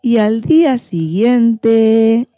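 Female children's storyteller, expressive and deliberate, soft mellow tone, dry close sound. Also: a little high, no echo, soft background music. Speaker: narrator. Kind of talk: children's radio story narration.

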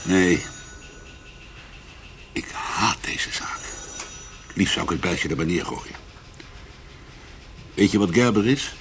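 An actor playing a weary, conscientious police commissioner in a radio drama, with a probing, plain-spoken manner. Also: male voice, sits low, lively intonation, slow, pauses often, a bit bright, slight background noise, heavily acted. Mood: bitter, weary.

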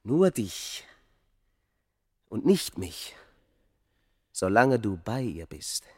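A man's voice: on the low side, sing-song, extremely slow, breathy edge, no echo, clean studio sound, heavily acted. An actor playing a bitter, despairing Egyptian man rejected in love, brooding and emphatic in a radio drama.

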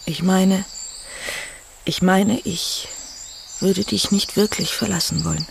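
An actress in a German radio drama playing an unhappy young wife who questions quietly and hesitantly. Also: female voice, medium pitch, fairly even intonation, takes it slowly, creaky, slight background noise. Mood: probing, subdued.

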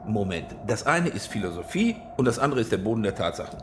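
An actor playing a smug, wealthy boss in a radio crime play, lecturing pompously and with amused condescension. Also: male voice, on the low side, big pitch swings, fast, noisy background. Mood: brisk condescension.

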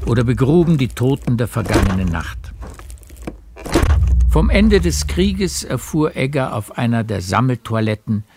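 A man narrating a literary radio play in a measured storytelling manner. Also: on the low side, vocal fry, very noisy. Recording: music behind the voice. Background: music.

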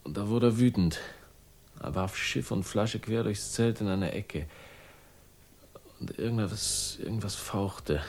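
A man's voice: on the low side, measured pace, darkish tone. An actor playing a world-weary, sardonic man with a troubled past, speaking tersely in a radio crime drama. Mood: dreamy, uneasy. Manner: terse, fragmented, wry. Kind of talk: 1960s German radio crime drama acting.

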